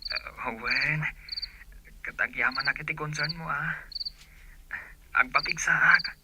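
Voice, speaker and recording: Weary, gentle man over loud insect noise. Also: on the high side, slow. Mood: weary, tender. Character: weary, ailing young man, gentle and fond. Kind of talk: Ilocano radio drama acting.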